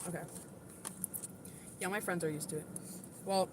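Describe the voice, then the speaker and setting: A woman with a moderately deep voice talks casually on her livestream. She answers viewer comments in a defensive, chatty way, peppered with "like" and "literally". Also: fairly even intonation, normal speed, background noise.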